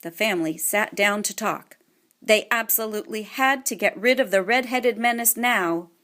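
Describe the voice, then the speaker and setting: A woman narrating a children's audiobook in an expressive, scripted storytelling manner. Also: mid-range voice, normal speed.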